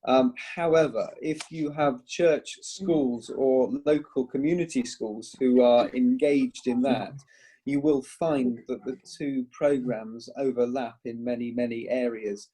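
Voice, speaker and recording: A man's voice: mid-range voice, steady tone, measured pace, dark tone, breathy edge, rough recording. A man answering a question in an online meeting, hesitant, with many fillers, heard over a video call. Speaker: eco-church scheme speaker answering a question.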